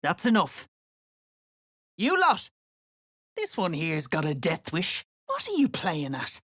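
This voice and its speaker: A woman's voice: on the low side, huge pitch swings, takes it slowly, a bit bright, breathy edge, no echo, clean studio sound, full character voice. A woman playing a menacing female cultist overseer in a video game, curt, threatening and full of contempt. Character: gruff, menacing female cultist slave-driver bossing diggers. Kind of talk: video game character acting.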